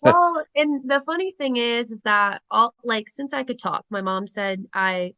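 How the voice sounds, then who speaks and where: A woman's voice: on the high side, unhurried, sharp tone, no room sound. A woman in her twenties from Rhode Island, a teacher and coach talking casually as an interview guest, chatty with lots of ums. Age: 20s.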